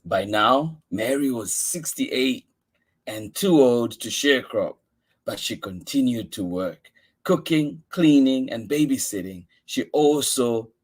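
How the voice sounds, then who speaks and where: Male story-time host, unhurried delivery, reading a picture book with dramatic, expressive emphasis. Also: mid-range voice, husky. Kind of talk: expressive read-aloud storytelling.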